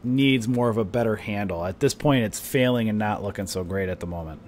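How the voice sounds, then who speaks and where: A man talking casually through stock charts for his viewers, rambling with lots of fillers. His voice feels a little off today, and he coughs and clears his throat now and then. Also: lowish voice, fairly quick, darkish tone, slight background noise. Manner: rambling, chatty, many fillers like "you know" and "right". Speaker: stock trading streamer. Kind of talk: casual market commentary to viewers.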